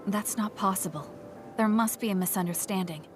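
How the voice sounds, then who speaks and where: A woman's voice: medium pitch, moderate pace, over music, lightly acted. An actress playing a cool, blunt, guarded young female military recruit in a video game. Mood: flat, reflective. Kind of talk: English video game dub acting.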